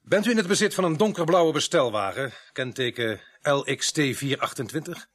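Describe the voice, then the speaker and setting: A man's voice: mid-range voice, expressive, moderate pace, fairly bright tone. An actor playing a dry, probing male police sergeant in a radio play.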